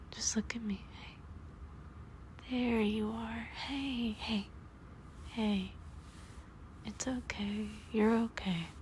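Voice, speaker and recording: A woman voicing a gentle young man who softly soothes his frightened partner in a hushed, whispery voice, as in a comfort audio roleplay. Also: fairly high pitch, slight background noise, voice pushed up.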